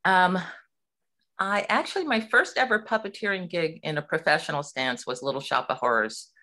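A middle-aged woman from Hawaii, a puppeteer, chatting in an interview with lively, laughing talk. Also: on the low side, normal speed. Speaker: puppeteer guest. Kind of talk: casual interview chat.

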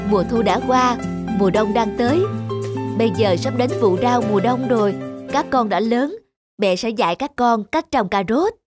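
A woman voicing a patient, firm mother rabbit in a children's cartoon, speaking in a measured, instructive way. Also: on the high side, natural voice. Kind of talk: animated children's cartoon voice acting. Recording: music behind the voice.

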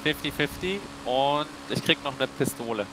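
A man's voice: mid-range voice, moderate pace. Cheeky man on noisy game chat. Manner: cheeky, teasing. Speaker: fellow player in a roleplay game. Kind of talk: casual joking roleplay banter.

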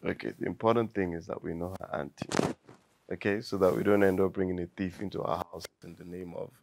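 A man's voice: low voice, steady tone, quick pace, vocal fry, noisy background. A man playing a weary, placating husband. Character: young husband, tired and conflict-avoiding. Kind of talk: scripted web drama.

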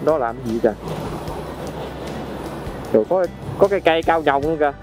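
A man's voice: on the high side, fairly quick. Young Southern Vietnamese man, chatty travel vlogger talking to viewers outdoors, music under him. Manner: excited, chatty, addresses viewers directly. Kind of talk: casual travel commentary. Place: outdoors on a motorbike road trip.

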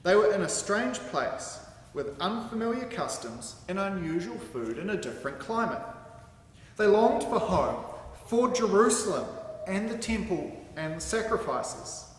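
A man reading a sermon aloud from a script in a deliberate, emphatic way, standing at a microphone in a large hall. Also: sits high, sing-song. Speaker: sermon reader. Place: large hall with curtained stage, indoors. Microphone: microphone on a stand at a lectern. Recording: very echoey hall with some background noise.

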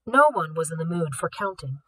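A woman reading a novel aloud, steady and expressive. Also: low-pitched, a little fast, husky, low-quality recording. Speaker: audiobook reader.

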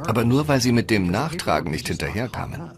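Casting: measured documentary commentator on a murder case, medium pitch, music behind. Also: male voice, very animated. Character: male commentator recounting a murder investigation. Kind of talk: documentary voice-over dub. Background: prominent music.

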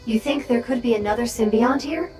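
A woman playing a brisk, sardonic little robot drone companion in a video game, talking in a wry, matter-of-fact way. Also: fairly high pitch, dark tone, natural voice. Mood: grave, confessing. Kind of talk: video game character dialogue. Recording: game music behind the voice.

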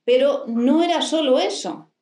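A woman's voice: high voice, measured pace, darkish tone. A middle-aged woman psychoanalyst giving a lecture into a handheld mic, explaining and answering with rhetorical questions. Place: library hall with a desk and plants. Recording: echoey hall.

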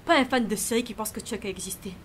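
Woman acting brash, sharp-voiced bodyguard, quick-fire. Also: a little high, slight background noise, lightly acted. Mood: furious. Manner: blunt, scolding, taunting. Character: brash, bossy, menacing young female bodyguard. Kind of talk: audio drama dialogue.

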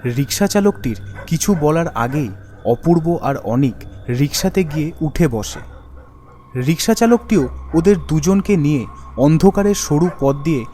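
A man's voice: fairly high pitch, lively intonation, measured pace, mellow, slight background noise. A man giving scripted narration of a ghost story as the storyteller. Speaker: storyteller and voice artist. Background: background music.

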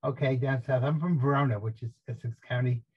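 A man's voice: mid-range voice, steady tone, a little fast. An older man from New Jersey with a New Jersey accent, chatting and joking on a video call as a co-host. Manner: chatty, joking, stumbling restarts. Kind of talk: casual chat. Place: home room, indoors. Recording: dull husky video call audio.

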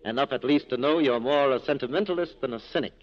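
An actor playing a wryly corrupt police captain with an urbane, teasing, courtly manner, in 1940s radio style. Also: male voice, medium pitch, fast talker, lightly acted. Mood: wry, self-assured. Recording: muffled 1940s radio broadcast recording.

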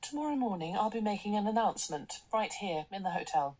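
An actress in a radio drama playing a brisk, commanding, articulate corporate boss, cool and self-assured. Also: female voice, medium pitch, animated, darkish tone, lightly acted, poor audio. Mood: cool, curt. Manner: assertive, articulate, polished.

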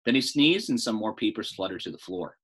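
A man's voice: fairly low pitch, lively intonation, a little fast, slightly rough. A middle-aged American man reading a story aloud like a teacher, expressively, with casual explanatory asides. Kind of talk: read-aloud storytelling with informal lesson asides.

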